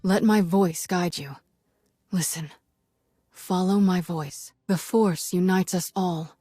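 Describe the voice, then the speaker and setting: An actress playing a compassionate Jedi woman in a video game, soothing and measured. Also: fairly low pitch, warm tone, husky, dry recording, clean studio sound. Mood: calm, guiding. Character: calm, compassionate Jedi woman leading meditation. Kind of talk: dramatic game acting.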